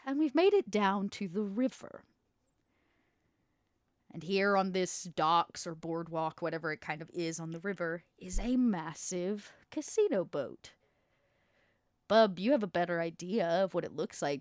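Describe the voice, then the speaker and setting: A woman with a lowish voice narrates a tabletop game scene. Her delivery is casual and conversational, recorded dry with no room around it. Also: animated, normal speed, dry recording. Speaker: storyteller and player in podcast.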